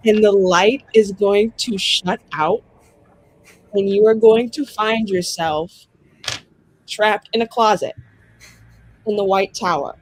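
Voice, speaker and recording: A woman's voice: medium pitch, slow, long pauses, slight background noise, poor audio. A woman telling an improvised tabletop roleplay scene casually and dramatically, colloquial and sweary. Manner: dramatic, profane, colloquial with many "like"s.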